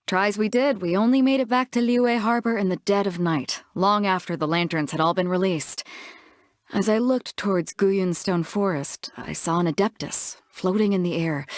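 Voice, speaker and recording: A woman's voice: medium pitch, no echo, studio quality, natural voice. A woman playing a bold, big-hearted ship captain for a video game, with a confident, frank and laid-back manner. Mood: reflective storytelling. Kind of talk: video game character voice lines.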